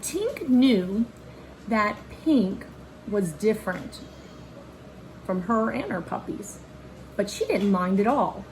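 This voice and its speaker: A woman's voice: medium pitch, very animated, slow pace, long pauses, a little mellow, a little hiss or hum, low-quality recording. A woman reading a children's picture book aloud like a teacher, expressively and in a child-directed way, with asides to point things out and ask questions. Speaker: read-aloud storyteller and teacher.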